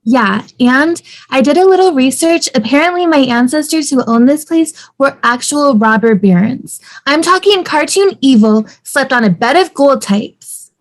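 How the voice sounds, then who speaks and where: Upbeat young wife, read by a woman, high register, casual enthusiasm, sitcom script. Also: expressive, moderate pace. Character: upbeat young wife excited about inherited house.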